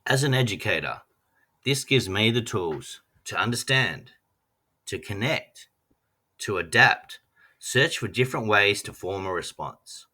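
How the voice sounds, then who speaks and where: An Australian man narrates a prepared personal statement in an earnest, measured read. His voice sits a little low but has a bright, forceful edge. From Western Australia. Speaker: trainee teacher.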